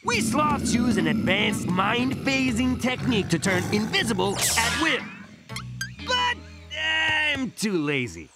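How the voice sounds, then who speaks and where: Slacker cartoon sloth, lazy and sighing, kinda high voice, loud music behind. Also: male voice, huge pitch swings, takes it slowly, heavily acted. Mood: weary, explaining. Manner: laid-back slacker talk, sighing, ends phrases with "man". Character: lazy, doom-saying slacker sloth scout from another dimension. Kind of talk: animated cartoon acting.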